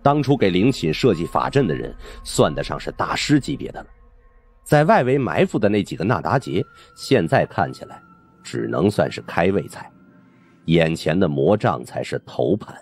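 A man narrates a suspense audiobook in a deep voice. His intonation moves up and down freely, giving the scripted tale a dramatic storyteller's delivery. Music plays behind him. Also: sing-song, normal speed, slightly rough.

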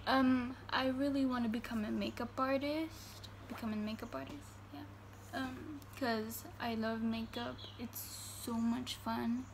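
A young woman in her teens, a vlogger from Germany, answering fan questions in casual chat in her bedroom, full of fillers and reading each question out slowly. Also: on the high side, steady tone, gravelly, a little hiss or hum. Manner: chatty, filler-heavy, reads questions slowly. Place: bedroom indoors. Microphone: phone camera at arm's length.

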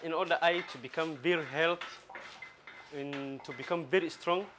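A man in his twenties from Somalia, a gym owner talking in a documentary interview, speaking halting with many restarts, in a small metal-walled gym. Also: fairly high pitch, noisy background. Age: 20s. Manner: halting non-native English with restarts.

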